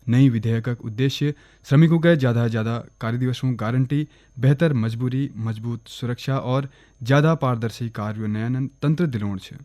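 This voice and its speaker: A man with a Kumaoni accent reading a regional radio news bulletin in a steady, formal way. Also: mid-range voice, no room sound. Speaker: newsreader. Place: radio studio.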